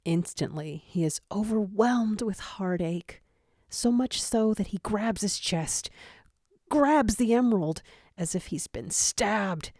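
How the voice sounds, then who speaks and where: A woman's voice: mid-range voice, lively intonation, slow, darkish tone, no echo, clean studio sound. A woman narrating scripted fan fiction in a dramatic storytelling style.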